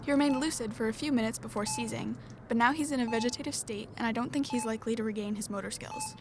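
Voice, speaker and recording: Woman acting as a calm hospital medic, noisy ambience. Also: fairly high pitch, quick pace. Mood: calm, reassuring. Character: matter-of-fact female hospital nurse or doctor. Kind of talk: audio drama acting.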